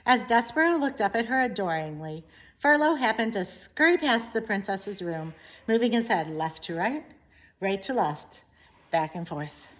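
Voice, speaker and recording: Female storybook narrator, unhurried and expressive, leaning on key words, middle pitch. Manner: deliberate, dramatic emphasis. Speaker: story reader, teacher.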